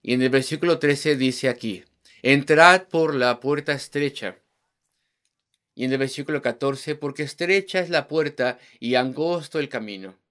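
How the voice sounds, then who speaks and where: A man's voice: medium pitch, normal speed, a bit bright. A man preaching an evangelistic sermon, driving his points home with rhetorical questions and repeated words in lists.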